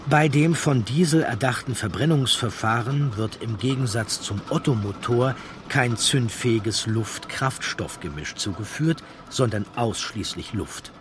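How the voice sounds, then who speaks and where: A man with a fairly low voice reads a nonfiction history in a measured, matter-of-fact way. Behind him sits a faint hiss of noise. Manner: measured, factual reading. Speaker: audiobook narrator. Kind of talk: scripted documentary narration.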